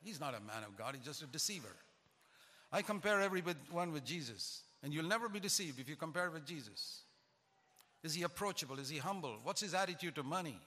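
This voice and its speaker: A man's voice: on the high side, expressive, a little fast, pushed and bright, big-room sound. A man who is a pastor, preaching a sermon with emphatic, repetitive cadence.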